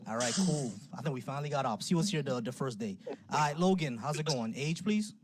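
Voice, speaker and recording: A man's voice: medium pitch, expressive, brisk. Laid-back man hosting a noisy live stream. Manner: loose and talkative, laughs often. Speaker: livestream host. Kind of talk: casual call-in debate chat. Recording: noisy livestream audio.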